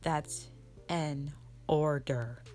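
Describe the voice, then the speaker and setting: A woman playing a strict, commanding mother who leads a warrior band, speaking sternly and deliberately in a roleplay audio drama addressed to the listener. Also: deep voice, a little mellow, faint music behind, pitched below the performer's own voice. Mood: grave, stern. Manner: stern, deliberate, halting delivery with sighs.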